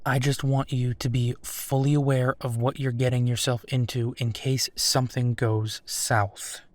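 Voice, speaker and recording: A man's voice: mid-range voice, flat delivery, measured pace, dry recording, lightly acted. A man acting a protective detective, dry close sound. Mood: worried, earnest. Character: protective werewolf detective man, worried but steady and caring. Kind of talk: intimate audio roleplay acting.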